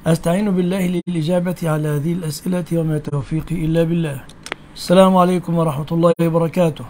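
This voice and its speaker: Brisk high-voiced Egyptian sheikh. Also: male voice, steady tone, background noise. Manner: brisk, blunt, questions callers back. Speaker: sheikh answering callers' questions. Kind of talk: live call-in religious Q&A.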